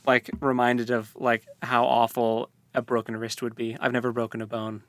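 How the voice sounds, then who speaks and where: A man in casual conversation, talking in a flat, even tone with little rise and fall. He stumbles and restarts often as he gathers his thoughts. His voice sounds close and dry, with no room around it. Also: medium pitch, moderate pace, dry recording. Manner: hesitant, many fillers and restarts. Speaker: podcast co-host. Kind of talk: casual book discussion.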